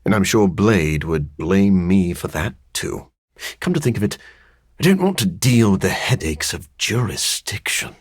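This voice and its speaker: An actor playing a brooding, erudite vampire scientist in a video game, raspy and gravelly, with formal, precise, deadpan phrasing and a dry sardonic streak. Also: male voice, sits low, a little slow, dry recording, heavily acted. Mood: dry, wry. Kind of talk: video game character voice lines.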